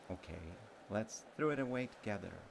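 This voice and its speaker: A sweet, sincere young-at-heart sweetheart, acted by a man in a romantic audio roleplay, with a very low voice full of gravel. He talks slowly and haltingly, tender and earnest toward an old friend he loves. Clear watery ambient noise runs behind him. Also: expressive. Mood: affectionate, bashful. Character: sweet, slightly slow childhood friend, male, sincerely in love.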